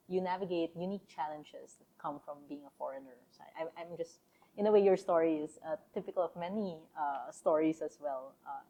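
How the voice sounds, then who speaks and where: A woman sociologist speaking as a podcast guest, thoughtful and relaxed, with frequent fillers and easy laughter. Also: sits low, poor audio. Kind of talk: casual interview conversation.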